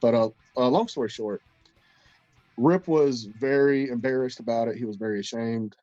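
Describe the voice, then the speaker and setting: A man's voice: mid-range voice, moderate pace, dark tone, no room sound, dull audio, faint music behind. A man co-hosting a casual podcast, stuffed up and congested, telling a long story in a rambling, profane way with many restarts. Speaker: podcast co-host.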